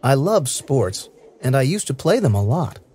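Lively clear-voiced man reading practice lines. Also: mid-range voice, moderate pace. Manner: clear, careful, evenly paced delivery. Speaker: English listening practice reader. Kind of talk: slow scripted reading for learners.

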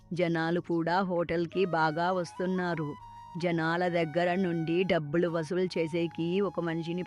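Woman voicing a kindly, even-toned village mother. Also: fairly low pitch, close to an everyday voice. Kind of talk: animated moral story dialogue. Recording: music under the voice.

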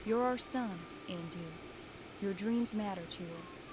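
A woman playing a gentle, supportive mother in a video game, heard in quiet, noisy stream audio. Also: medium pitch, measured pace, close to an everyday voice. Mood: tender, encouraging. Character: gentle, supportive farm mother of a grown son. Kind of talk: video game character dialogue.

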